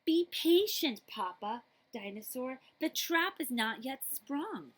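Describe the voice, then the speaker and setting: A woman voicing a sly, hungry storybook dinosaur with a gloating, drawn-out, theatrical delivery. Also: high voice, sing-song, husky, voice pushed up, exaggerated voice. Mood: scheming.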